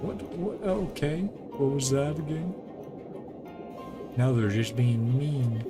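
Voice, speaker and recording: A man giving casual, rambling gameplay commentary as a streamer, thinking aloud as he plays, with a creaky voice. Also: medium pitch, slow pace. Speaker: video game streamer. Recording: game music behind the voice. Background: video game music.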